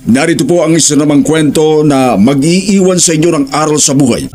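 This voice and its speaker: A man's voice: on the high side, fairly quick, music in the background. A man with a Filipino accent introducing a scripted horror story as its narrator.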